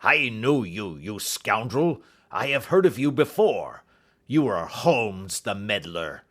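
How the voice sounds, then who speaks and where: A man's voice: lowish voice, big pitch swings, slow, a bit bright, full character voice. A man voicing a huge, fierce old doctor in an audiobook, a bullying villain who snarls and blusters. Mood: furious, menacing. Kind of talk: audiobook character acting.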